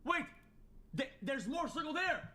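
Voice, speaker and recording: A man's voice: very high-pitched, big pitch swings, a little slow, bright, forceful tone. A man in an urgent tone, telling his viewers to look closely at something.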